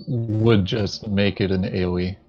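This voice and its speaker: Low, unhurried man on dull mic. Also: fairly even intonation, faint music behind. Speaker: fellow player in a board game playthrough. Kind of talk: casual chat, rules talk.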